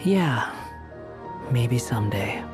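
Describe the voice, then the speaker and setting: A man's voice: mid-range voice, animated, takes it slowly, music in the background. An actor playing a sardonic young male loner in a video game, with a dry, dismissive manner that hides some care. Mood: wry. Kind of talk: video game character acting.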